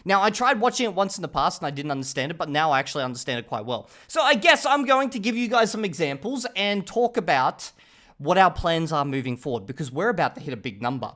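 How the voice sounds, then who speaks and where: A man's voice: on the high side, very animated, quick pace, a bit bright. A man hosting a casual talking-head video, rambling and chatty, often stopping to check in with the viewer. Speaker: channel host reviewing his language learning.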